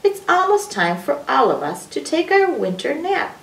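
A woman voicing a gentle, patient mother animal in a storybook, soothing and explaining things to her young one. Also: high-pitched, big pitch swings, normal speed, voice pushed up. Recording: roomy echo, distant microphone.

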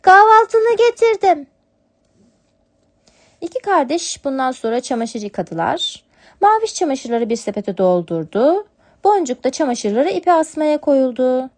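A woman's voice: high voice, very animated, normal speed, a little mellow. A woman narrating a scripted storybook tale for children as a storyteller.

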